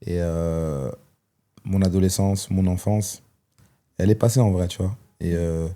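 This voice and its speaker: A man, telling his life story as a guest, thoughtful and hesitant with many pauses and fillers. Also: sits low, warm tone. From Belgium. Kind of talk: personal testimony in conversation.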